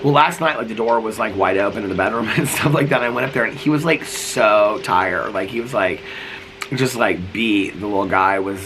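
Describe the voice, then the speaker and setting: A man's voice: lowish voice, moderate pace, background noise. A middle-aged man vlogging casually while sick, with a cough and a voice that is going. He rambles, filling his talk with "like" and restarts, sitting outdoors. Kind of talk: casual vlog chat.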